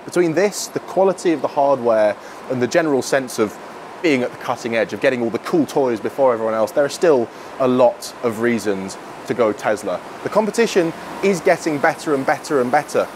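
A man's voice: medium pitch, background noise. A young man with a British accent presenting a car review to camera. He talks in a chatty, wry, opinionated way while driving, and later stands outdoors at a charger. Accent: British English. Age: young adult.

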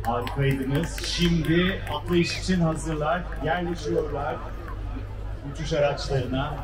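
High-pitched male event announcer over echoing speakers. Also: fairly even intonation, unhurried. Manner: exclamatory, hyping the crowd. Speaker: live event announcer at an air show and flying contest. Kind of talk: excited live commentary over a public address system. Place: outdoor seaside public event. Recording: echoey loudspeakers outdoors, distant, loud music and crowd. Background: music and crowd noise.

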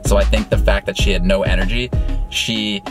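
A man's voice: fairly low pitch, fairly even intonation, brisk, over music. An American man in his twenties talking casually as a vlog host, with a teasing, pushy, encouraging manner. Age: 20s. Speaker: vlog host, brother coaching his sister. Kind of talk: casual vlog chat and coaching.

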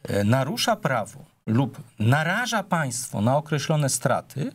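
A middle-aged man speaking as a politician on a television panel. He argues at a measured pace, breaking often into hesitant fillers. His pitch sits in an ordinary middle range. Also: very animated. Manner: measured, argumentative, with frequent hesitations. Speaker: politician guest. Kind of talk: political TV debate. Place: TV studio.

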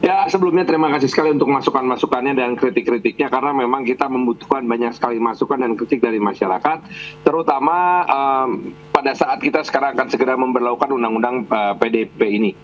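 A male lawmaker joining a news program over a video call, his voice dulled by the connection. He answers in a measured, formal way, pausing with "em" and "eh" as he lays out his points. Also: medium pitch, slight background noise. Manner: measured, formal, with hesitations and fillers. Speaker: parliament member guest. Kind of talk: remote TV interview answer. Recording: dull lo-fi video call line.

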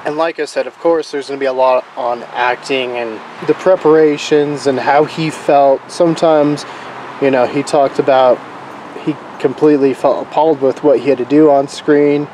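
A man with a slightly hoarse voice from a cold, casually reviewing a book in a relaxed, rambling way with fillers. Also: medium pitch, moderate pace, a little hiss or hum, rough recording.

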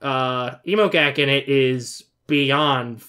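A man's voice: mid-range voice, slow pace, bright, forceful tone, clear, resonant tone. A man in his twenties giving casual, rambling, informal off-the-cuff commentary as a reaction video host. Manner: rambling, informal, mild swearing. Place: bedroom, indoors.

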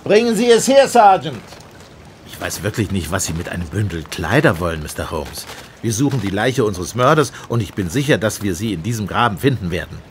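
An actor playing a sceptical, sarcastic police inspector with a gruff, commanding manner in a German radio play. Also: male voice, on the low side, very animated, brisk, slight background noise. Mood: sceptical, irritated.

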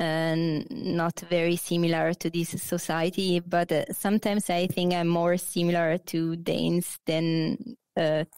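A woman's voice: on the low side, darkish tone, smooth, dry recording. A woman in her thirties from Naples with an Italian accent, a guest on a video-call interview, talking hesitantly with many fillers and restarts over a headset mic. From Italy, Naples. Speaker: guest, architect. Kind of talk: casual interview. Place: bright office.

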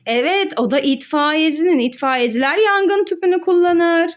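Very high-pitched woman in playful teaching mode. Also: animated, normal speed. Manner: sing-song, exclaiming, playfully instructive. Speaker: mother hosting a children's educational play video. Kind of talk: child-directed play narration with questions and answers.

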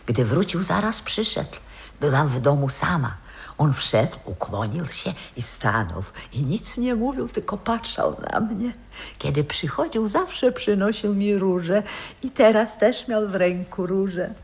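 A proud, dreamy old former diva reminisces in a radio drama. Her delivery is slow and halting, with a husky voice that rises and sinks expressively. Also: lowish voice, very animated, heavily acted. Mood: tender, nostalgic. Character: wistful proud old grandmother, former opera singer. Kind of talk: 1960s radio play acting.

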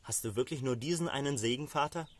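A man's voice: medium pitch, fast, close to an everyday voice. A man voicing a wronged elder son in a Bible story, bitter, indignant and pleading with his father.

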